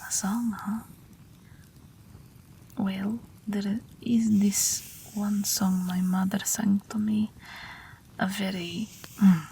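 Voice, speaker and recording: A woman playing a gentle witch aviator in an audio roleplay, speaking in a soothing, breathy whisper as if at a bedside. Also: mid-range voice, a little hiss or hum. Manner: soft, soothing, hesitant, with gentle amusement. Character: gentle night witch aviator, old but youthful, soothing a patient. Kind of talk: whispered audio roleplay, intimate bedtime monologue.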